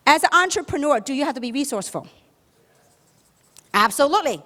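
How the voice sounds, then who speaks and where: A woman's voice: high-pitched, lively intonation, brisk, bright, forceful tone. A woman with a Chinese accent gives a motivational business seminar talk, emphatically posing questions and answering them herself. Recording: echoey hall, long reverb.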